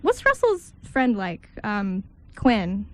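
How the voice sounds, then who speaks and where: A woman's voice: mid-range voice, huge pitch swings, takes it slowly. A woman playing an agreeable but self-doubting young bridesmaid in an amateur radio drama, with a hesitant, obliging manner. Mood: nervous.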